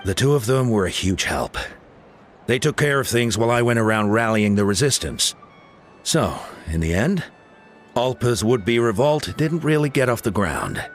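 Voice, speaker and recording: An actor playing a calm, reassuring male mentor and leader in a video game. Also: medium pitch, normal speed, natural voice. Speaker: game character. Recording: game audio with soft music.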